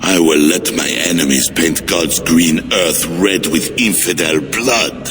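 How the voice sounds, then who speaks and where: A man's voice: low voice, slow. An actor voicing an unstable, scheming medieval king who swings between frightened pleading and sly mockery. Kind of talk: video game cutscene. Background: music.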